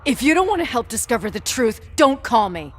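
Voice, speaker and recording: A woman's voice: fairly high pitch, sing-song, fairly quick, soft background music. An actress playing a strong-willed, defiant young woman in a video game, with an intense, emphatic, sweary delivery. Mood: outraged, hurt. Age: young adult. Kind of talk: naturalistic video game voice acting.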